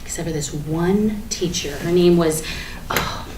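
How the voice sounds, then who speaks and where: A woman playing a defiant, foul-mouthed suspect under questioning in a staged monologue, rambling in a chatty, sarcastic, halting way. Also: lowish voice, a little mellow, far from the mic, close to an everyday voice. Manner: chatty, sarcastic, halting, breaks into nervous laughs. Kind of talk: dramatic monologue in a staged reading. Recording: echoey room with faint background noise.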